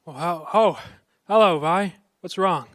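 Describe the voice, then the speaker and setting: A man's voice: sits high, a little slow, fairly bright tone, no echo, studio quality. An actor playing a harried small-town family man in an old-time radio drama, stammering, frustrated yet tender underneath. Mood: concerned, kindly.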